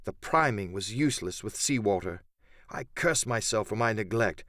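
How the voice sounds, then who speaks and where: A man's voice: fairly low pitch, lively intonation, moderate pace, fairly bright tone, dry recording, studio quality. A man narrating an adventure audiobook in the first person, with theatrical, literary storytelling.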